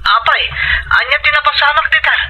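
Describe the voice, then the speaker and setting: Man acting very high-pitched worried Ilocano husband. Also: brisk, bright, forceful tone, dull audio, heavily acted. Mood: alarmed. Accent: Filipino, Ilocano. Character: concerned, trusting hardworking husband abroad. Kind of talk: scripted audio drama acting. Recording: music clearly behind voice.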